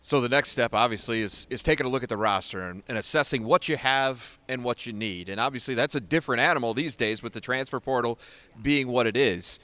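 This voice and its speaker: Man, American accent, sports interviewer, bright forceful voice, dry sound, conversational questions. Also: medium pitch, fairly quick, dry recording.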